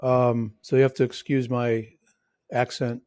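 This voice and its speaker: A man's voice: mid-range voice, flat delivery, moderate pace, darkish tone, dry recording, studio quality. A man with a mixed Midwestern and New York accent hosting a casual podcast interview, rambling with lots of restarts and fillers. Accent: Midwestern and New York American. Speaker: podcast host.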